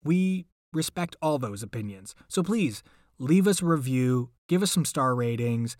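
A man's voice: medium pitch, animated, unhurried, darkish tone, dry recording, studio quality. A man hosting a podcast, speaking casually and playfully, with emphatic, pleading repetition. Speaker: podcast host.

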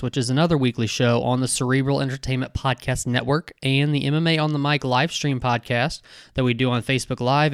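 A man's voice: medium pitch, smooth, no echo, studio quality. A man hosting a podcast, reading an upbeat intro and then trading jokes with his co-host in casual banter.

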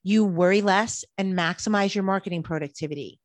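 A woman's voice: medium pitch, fairly quick, no room sound. A woman co-hosting a podcast who reads scripted points in an easy, upbeat way. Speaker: podcast co-host.

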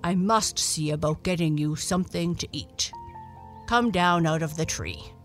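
Woman putting on a gruff, hearty storybook dog. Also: sits low, measured pace, faint music behind. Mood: kindly. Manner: blunt, hearty, folksy. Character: gruff but kindly, boastful male dog. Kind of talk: children's storybook character voice.

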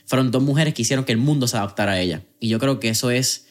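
A man hosting a podcast, talking in a relaxed, conversational and reflective way. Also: mid-range voice, racing. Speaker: podcast host. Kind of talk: casual interview chat.

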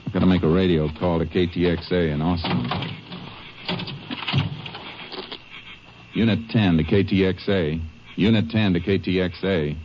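An actor playing a calm, terse Texas Ranger investigator in a 1950s western radio drama, speaking in a deliberate, matter-of-fact way. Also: male voice, deep voice, lightly acted. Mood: businesslike. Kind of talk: 1950s radio drama acting with first-person narration. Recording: muffled, noisy old 1950s radio recording.

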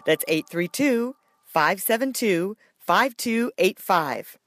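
A woman's voice: medium pitch, animated, slow pace, fairly bright tone, dry recording, clean studio sound. A woman reading a scripted promotional announcement as a podcast announcer. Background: music.

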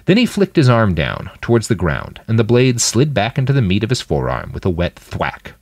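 A man reading scripted fiction as an audiobook narrator, with dramatic, expressive delivery. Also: fairly low pitch, normal speed, creaky, dry recording. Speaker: fiction podcast narrator and author.

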